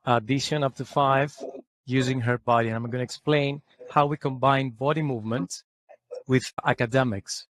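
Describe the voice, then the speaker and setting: A man who founded a company, calmly explaining his work as an interview guest. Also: mid-range voice, normal speed, no room sound.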